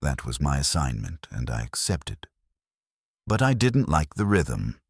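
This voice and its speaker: A man reading scripted first-person detective fiction in a noir style, with a gravelly, husky voice. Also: rumbling low, big pitch swings, a little slow, no echo, studio quality. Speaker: narrator of a detective story.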